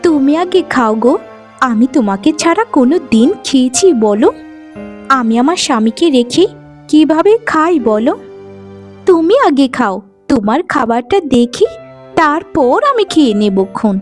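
A woman's voice: sits high, animated, normal speed. A woman voicing a meek, dutiful young village daughter-in-law in a cartoon, earnest and deferential. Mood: tender. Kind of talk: cartoon voice acting. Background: music.

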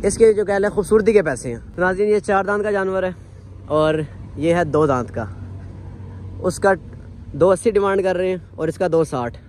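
A man's voice: high-pitched, normal speed, darkish tone, slight background noise. A man interviewing a cattle seller outdoors at a market, repeating the prices for his viewers. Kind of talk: on-location interview.

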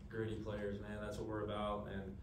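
Young guy at a press conference table, low steady voice, roomy echo, mic a bit far. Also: background noise. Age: young adult. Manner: quick, earnest, lots of fillers. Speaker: college quarterback. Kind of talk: postgame press conference answers. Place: press room, seated at a table. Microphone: table mic, camera at a distance. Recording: echoey room, from a distance.

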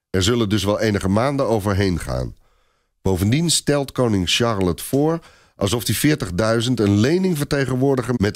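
Male storyteller for scripted narration, lower-than-average pitch, highly varied melody. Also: no echo, studio quality.

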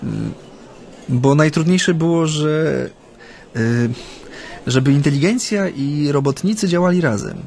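A man's voice: on the high side, lively intonation, creaky, darkish tone. A hesitant, reflective Japanese man. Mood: earnest, reflective. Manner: hesitant, reflective, with frequent hums and pauses. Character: earnest Japanese man recalling his Solidarity-era activism. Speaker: actor in a radio play. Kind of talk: radio drama, conversational recollection.

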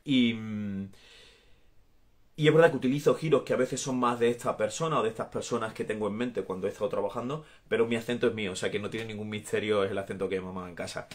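Andalusian man, 30s, fairly low voice, casual live-stream chat recorded on a phone or webcam at home. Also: fast talker. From Andalusia, Spain. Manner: warm, chatty, laughs often, gestures while talking. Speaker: actor answering fans in a live stream. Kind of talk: casual live chat reading viewer comments. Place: small home room. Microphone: phone or webcam at arm's length.

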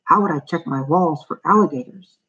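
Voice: medium pitch, brisk, bright, forceful tone, husky, dull audio. A fretful storybook landlord, as a narrator puts him on, a man both grumpy and nervous. He speaks warily and hesitantly, full of doubt. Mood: doubtful, hesitant.

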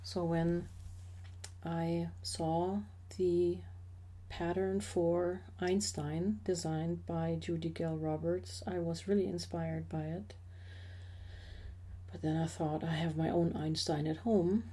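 A middle-aged woman narrating a slideshow about her woodworking hobby in a measured, explanatory way with occasional fillers. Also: fairly low pitch, fairly even intonation, dark tone. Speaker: associate professor sharing a hobby.

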